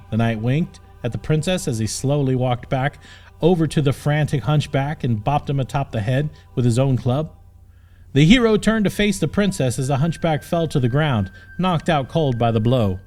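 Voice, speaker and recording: A man's voice: mid-range voice, a little mellow. A man narrating a scripted comic fantasy audiobook in a dramatic storytelling manner.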